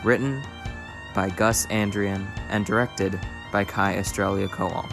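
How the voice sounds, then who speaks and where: A man's voice: fairly low pitch, steady tone, unhurried, vocal fry. A man reading a scripted title and credits announcement as the announcer of an audio drama. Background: prominent music with guitar and strings.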